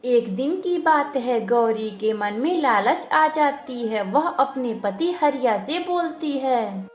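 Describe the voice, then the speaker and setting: A woman's voice: high-pitched, expressive, slow pace, echoey room. A woman narrating a scripted moral story as its storyteller, with music behind her.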